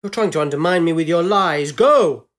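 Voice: medium pitch, animated, normal speed, lightly acted. Narrator playing a self-important male political boss, paranoid, indignant, raised accusing voice, audio storybook villain. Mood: furious. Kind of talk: acted dialogue in a short story reading.

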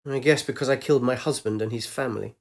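A woman's voice: a bass voice, fast talker. A man voicing a bewildered, ancient woman who speaks in eager, faltering bursts. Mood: defensive. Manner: faltering, uncertain questions.

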